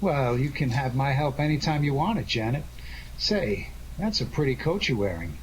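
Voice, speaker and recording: Man acting a gallant gumshoe, lively intonation, mid-pitched, affable, playful, old-time radio crime drama, slight noise. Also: normal speed, natural voice. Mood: affable, charmed. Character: genial, gallant male adventurer detective, easily charmed. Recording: faintly noisy radio drama recording.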